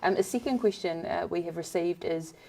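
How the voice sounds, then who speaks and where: A woman's voice: on the low side, brisk, creaky, darkish tone. A woman moderating a live stream Q&A, reading out questions and responding in an upbeat, encouraging way.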